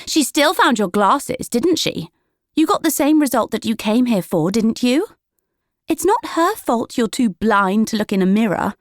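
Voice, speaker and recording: A woman's voice: fairly high pitch, expressive, a little slow, no echo, voice pushed up. This is a domineering young witch from a storybook, performed by a woman narrator. She challenges people relentlessly and talks down to them with scorn. The sound is dry and close. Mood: indignant. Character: relentless bossy older sister witch, girl.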